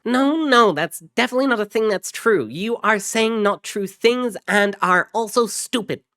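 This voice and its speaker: An excitable, sarcastic man, as a male narrator puts him on, blunt and exasperated. The voice jumps up high and loud for an audio story. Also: normal speed, smooth, dry recording, studio quality. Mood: frantic sarcasm. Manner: mock-cheery, shouting, blunt.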